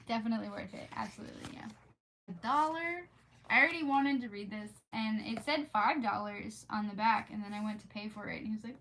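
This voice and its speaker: A woman's voice: on the high side, sharp tone. A woman streamer who reads storybooks aloud and chats casually with viewers in an informal, gushing, rambling way. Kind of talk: storybook read-aloud and casual livestream chat. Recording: clearly noisy background.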